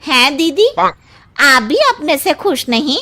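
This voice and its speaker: A woman voicing a wistful, flattering housemaid in a cartoon story, gushing and plaintive. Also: sits high, slow pace, exaggerated voice. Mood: curious. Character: wistful, flattering housemaid who longs to be beautiful. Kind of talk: cartoon family story acting.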